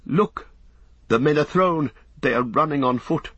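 A man's voice: medium pitch, steady tone, unhurried, pushed and bright, husky, dry recording, pitched above the performer's own voice. A man voicing a frightened, devoted guardsman who calls out urgently in a dramatic audiobook. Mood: tense. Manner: urgent, exclamatory. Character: frightened, devoted guardsman crying out from city walls. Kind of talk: dramatic audiobook character reading.

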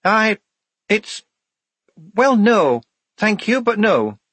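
A young woman soldier in a storybook, acted out by a male narrator, pitched very high and a little husky. She is timid by nature, halting, yet defiant and firm. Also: very animated, fairly bright tone, no echo, exaggerated voice. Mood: firm refusal. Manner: hesitant, halting, firm in refusal.

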